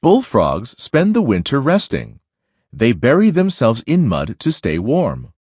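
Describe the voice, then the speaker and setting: Slow, very animated man reading aloud. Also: mid-range voice, breathy edge, dry recording, clean studio sound. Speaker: reader of a children's picture book. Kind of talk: read-aloud of a children's nonfiction book.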